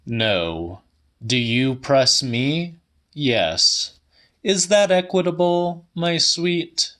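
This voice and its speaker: A man's voice: on the high side, lively intonation, drawn-out words, sharp tone, pitched above the performer's own voice, exaggerated voice. A man voicing a pompous, aggrieved young intellectual idler with a rhetorical manner.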